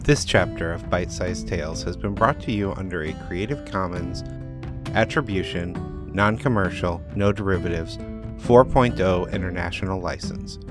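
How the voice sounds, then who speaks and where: A man's voice: low voice, moderate pace. A man speaking as an author and podcast host, giving an informal note on his work. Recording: guitar music under the voice. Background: plucked guitar music.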